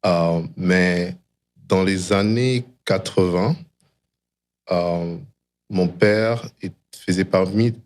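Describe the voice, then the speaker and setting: A middle-aged man with a low-pitched voice speaks as a guest in a conversation. He recounts things reflectively, in a storytelling way, and drops English phrases into his speech. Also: a little mellow. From DR Congo and Canada. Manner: mixes English phrases into French. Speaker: interview guest, tech founder.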